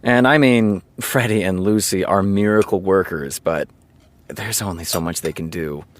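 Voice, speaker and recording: An actor playing a candid, wry TV showrunner, talking conversationally and pausing for effect in a naturalistic audio drama. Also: male voice, low-pitched, natural voice. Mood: rueful, confiding. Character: candid male TV showrunner, wry and self-deprecating. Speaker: television writer character.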